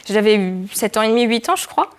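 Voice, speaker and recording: A woman's voice: on the high side, smooth. French-born woman, native Polish speaker. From France. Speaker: association member. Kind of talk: personal interview reminiscence.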